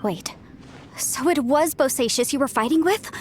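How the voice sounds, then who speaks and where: A young female adventurer in a video game with a fairly high voice. She is steady, courteous and inquisitive, with music playing behind her. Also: moderate pace. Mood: inquisitive, concerned. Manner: courteous, questioning.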